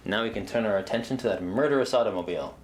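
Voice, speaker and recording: A man's voice: lowish voice, racing, close to an everyday voice. A man playing a self-important, oblivious detective in a comedy audio drama, speaking in a pompous, theatrical way. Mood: triumphant. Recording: echoey room, faint noise.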